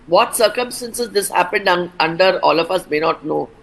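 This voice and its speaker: Measured woman doctor explaining. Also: on the low side, expressive, creaky, a bit bright, rough recording. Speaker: doctor, expert guest. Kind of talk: TV interview answers.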